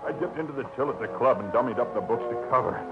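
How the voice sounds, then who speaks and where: A man playing a gruff crooked killer. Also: mid-range voice, rapid-fire, close to an everyday voice. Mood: confessing. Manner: gruff, threatening. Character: crooked club bookkeeper, armed killer. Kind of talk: 1940s radio acting. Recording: dull old radio recording with music.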